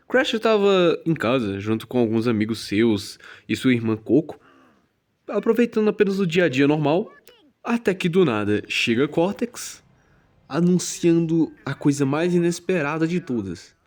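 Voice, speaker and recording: A man's voice: on the high side, sing-song, normal speed, darkish tone. A young man with a Brazilian Portuguese accent, narrating a scripted video game review voice-over in a casual, slangy, joking way. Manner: casual and slangy, jokey asides.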